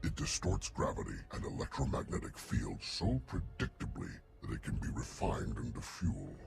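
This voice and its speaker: A vain, eccentric alien scientist in a game cutscene. The voice is cavernously low and swings widely in pitch as it relishes each grand word. Music plays clearly behind it. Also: male voice, heavily acted. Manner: grandiose, savoring words, theatrical. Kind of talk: video game cutscene acting.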